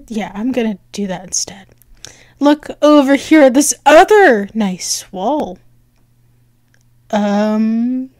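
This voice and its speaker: A woman playing a flustered, bratty but affectionate cat-girl girlfriend in an ASMR roleplay, halting and teasing as she stammers. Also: sits high, a little mellow, no room sound, clean studio sound. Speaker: audio roleplay performer.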